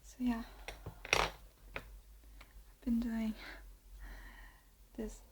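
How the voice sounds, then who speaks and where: A woman's voice: a little high, completely flat delivery, drawn-out words, slight background noise. Whispering Italian-accented young woman. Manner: soft whisper, hesitant with many fillers. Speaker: ASMR creator. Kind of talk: whispered ASMR talk.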